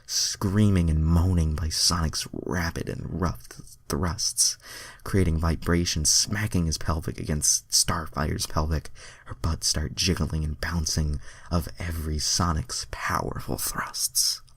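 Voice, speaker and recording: Low-voiced man reading fan fiction. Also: steady tone, measured pace, slightly rough, dry recording. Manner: stumbling, self-deprecating. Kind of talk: amateur story narration with casual asides.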